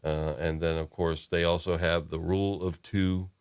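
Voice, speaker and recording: A man hosting a casual fan discussion about lore, talking haltingly as he thinks aloud. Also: sits low, flat delivery, clear, resonant tone. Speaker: podcast host.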